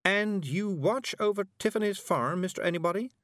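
A man voicing a slow, dry-witted witch. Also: high-pitched, fairly bright tone, no room sound, voice pushed up. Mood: curious. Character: stern, dry-humoured middle-aged witch woman.

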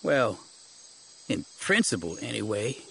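A man's voice: on the low side, big pitch swings, unhurried, creaky, fairly bright tone, a little hiss or hum, full character voice. A man voicing a cynical, exasperated young local sidekick with slangy, sarcastic speech in an audiobook. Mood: cynical, evasive.

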